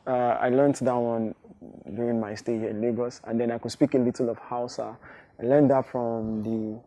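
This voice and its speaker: A man's voice: medium pitch, little pitch movement, normal speed, heavy background noise. A young Nigerian man with a Nigerian accent, a native Igbo speaker and hip-hop artist, giving thoughtful interview answers with frequent restarts and fillers.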